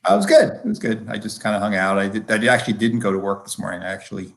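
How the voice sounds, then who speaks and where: Low-pitched middle-aged man, casual storyteller. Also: big pitch swings, rapid-fire, gravelly. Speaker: physician-scientist guest. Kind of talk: casual personal storytelling in conversation.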